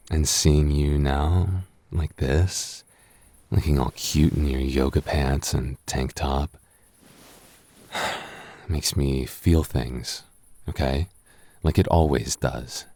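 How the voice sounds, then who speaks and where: A man's voice: rumbling low, fairly even intonation, slow, long pauses, dark tone, no echo, exaggerated voice. A young man acting a tipsy, flustered roommate hiding a crush in an intimate boyfriend roleplay, stammering and defensive in a conversational way. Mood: tender confessing.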